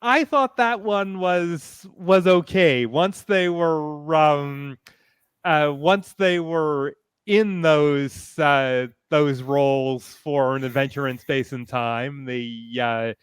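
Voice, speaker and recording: Male panelist, slightly high clean voice, closely recorded and dry, thoughtful stop-and-start casual delivery. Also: slow, smooth, no room sound.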